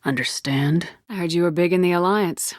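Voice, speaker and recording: Low-voiced, tough woman space commander, acted. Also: moderate pace, no room sound, close to an everyday voice. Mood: blunt, commanding. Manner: assertive, curt, dry. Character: tough, commanding female space-navy commander, confident and blunt. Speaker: video game voice actor. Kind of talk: game dialogue, isolated voice lines.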